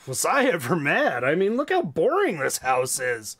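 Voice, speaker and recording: A man's voice: on the high side, husky, no echo. An American man hosting a children's storytime, reading playfully with chatty, casual asides. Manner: playful, animated, dramatic drawn-out reading. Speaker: storytime reader and host.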